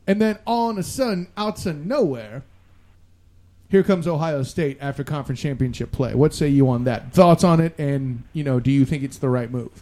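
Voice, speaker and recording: A man co-hosting a casual sports talk show, animated and opinionated, with a joking streak. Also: a little high.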